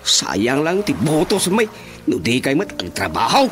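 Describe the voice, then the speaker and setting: Complaining, aggrieved villager male actor, Ilocano accent, animated high voice, radio drama with clear music bed. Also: measured pace.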